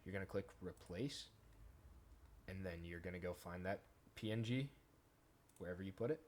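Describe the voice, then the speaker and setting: A man hosting a software tutorial, explaining each step informally in a casual, conversational way. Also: low-pitched, normal speed, a little hiss or hum. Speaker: tutorial host. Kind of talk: casual screen-recorded software tutorial narration.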